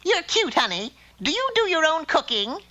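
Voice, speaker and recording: A woman's voice: high voice, sing-song, normal speed, bright, forceful tone, lo-fi sound, heavily acted. A woman playing a brash cartoon fairy godmother who talks in folksy, wheedling sales patter. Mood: chummy, wheedling. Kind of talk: comic cartoon voice acting.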